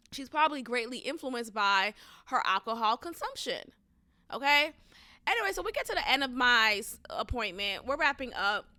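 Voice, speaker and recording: A young woman podcast host telling a story in a casual, emphatic and animated way, often swearing. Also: sits high, dry recording, clean studio sound. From United States.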